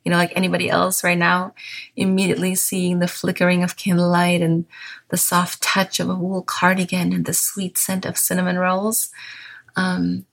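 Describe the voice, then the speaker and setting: A woman in her thirties with a German accent, hosting a gentle podcast monologue in a soft, unhurried, mindful way. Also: lowish voice, gravelly. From Bavaria, southern Germany. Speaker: podcast host.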